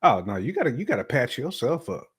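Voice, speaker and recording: A man in his forties co-hosting a talk show, giving casual opinions in colloquial, halting speech that often repeats words. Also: medium pitch, very animated, slightly rough. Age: 40s.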